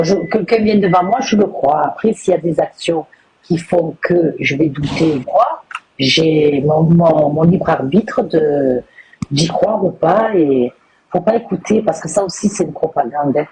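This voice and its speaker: A man's voice: a little high, unhurried, a little mellow, slight background noise, poor audio. A man in a live chat, giving reassuring advice to the others. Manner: reassuring, advising. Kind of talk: casual discussion.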